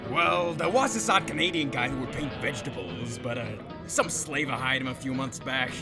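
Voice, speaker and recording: A man's voice: mid-range voice, normal speed, gravelly, natural voice. A man acting as a folksy, wisecracking space-western barkeep with a drawling, theatrical delivery. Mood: wry, gossipy. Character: folksy, wisecracking male space-saloon barkeep, showy salesman. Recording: music under the voice.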